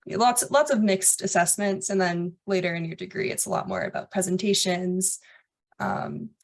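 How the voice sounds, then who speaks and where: A woman's voice: medium pitch, normal speed. A young woman in her undergraduate years talks through her weekly routine on a webinar panel. She comes across as earnest and chatty, with the odd filler between points. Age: young adult. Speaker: undergraduate student.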